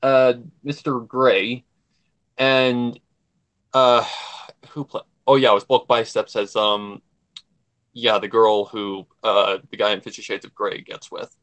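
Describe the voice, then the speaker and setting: A man's voice: fairly low pitch, level tone, slow, a bit bright. A man talking casually as a guest on a livestream, rambling and emphatic, often repeating his points. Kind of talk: casual chat.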